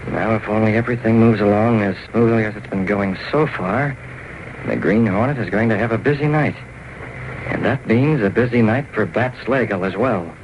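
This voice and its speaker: An actor playing a determined masked vigilante in a 1930s radio adventure, speaking with confident, purposeful command. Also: male voice, fairly low pitch, moderate pace, muffled recording, lightly acted. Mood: resolute. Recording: old radio recording with steady hiss.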